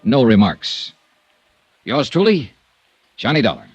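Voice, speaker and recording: An actor playing a hard-boiled insurance investigator, clipped and firm, in a 1950s radio drama with narration. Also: male voice, medium pitch, very animated, unhurried, over music. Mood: narrating.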